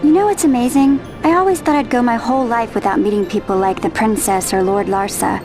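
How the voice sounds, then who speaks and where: Actress as a high-voiced, teasing young friend. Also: female voice, a little fast, lightly acted. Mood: affectionate, teasing. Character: youthful female companion, affectionate, gently teasing friend. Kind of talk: video game cutscene dialogue. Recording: music behind the voice.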